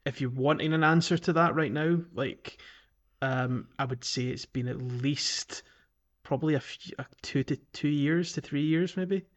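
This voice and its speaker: A man's voice: fairly high pitch, a little slow. A man co-hosting a podcast, chatting casually about games in a hesitant, rambling way. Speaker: podcast co-host. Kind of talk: casual gaming podcast chat.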